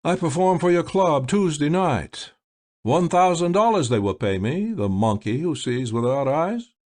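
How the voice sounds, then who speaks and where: A man's voice: a little high, lively intonation, measured pace, darkish tone, pitched above the performer's own voice. Man putting on a showy blind magician. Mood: wistful. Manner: theatrical, grand exclamations. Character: flamboyant blind male stage magician, sly.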